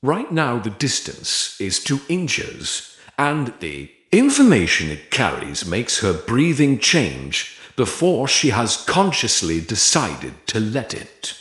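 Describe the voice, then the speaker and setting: Male narrator for dark romance audiobook: very slow, deliberate phrasing, sweeping intonation, roomy echo. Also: medium pitch, huge pitch swings. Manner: deliberate, dramatic pauses between short phrases.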